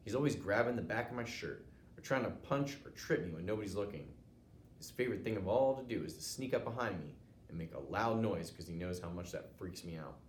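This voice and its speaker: A man's voice: fairly low pitch, lively intonation, a little fast. An American man narrating a children's storybook, reading aloud as a teacher would. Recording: roomy echo, noticeable background noise.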